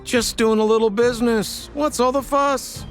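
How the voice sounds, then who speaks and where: An actor playing a slippery, self-serving merchant rogue in a video game, theatrical and ingratiating. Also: male voice, very high voice, fairly even intonation, moderate pace, soft background music. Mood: nervous pleading.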